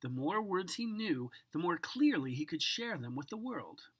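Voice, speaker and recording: Low-voiced woman reading a storybook, very animated. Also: breathy edge. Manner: savors single words with drawn-out, dramatic delivery and occasional whispers. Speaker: storybook reader. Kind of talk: expressive children's picture book read-aloud.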